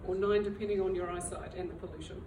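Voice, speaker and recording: A woman's voice: fairly low pitch, fairly even intonation, fast. Woman, chatty and self-deprecating, speaking at a library talk, miked from a distance in a noisy room. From New Zealand. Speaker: library director giving a talk. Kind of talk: public talk to an audience. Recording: roomy, far from the mic, noisy.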